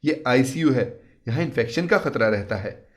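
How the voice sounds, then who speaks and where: A man's voice: medium pitch, animated, normal speed, close to an everyday voice. A man voicing a concerned young woman doctor with a direct, questioning manner. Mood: concerned, challenging.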